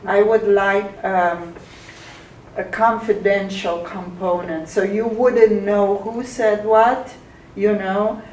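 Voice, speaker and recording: A woman's voice: medium pitch, steady tone, takes it slowly, a little mellow. A woman in the audience giving an insistent, carefully worded critique during a lecture hall discussion. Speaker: audience member. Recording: echoey room, distant, faint noise.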